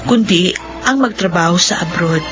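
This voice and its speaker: An actress playing a determined young Filipina eldest daughter in a radio drama, earnest, with a Filipino accent. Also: female voice, lowish voice, normal speed, natural voice. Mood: earnest, reflective. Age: young adult. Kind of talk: radio drama acting with first-person narration. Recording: dull lo-fi radio drama, music underneath.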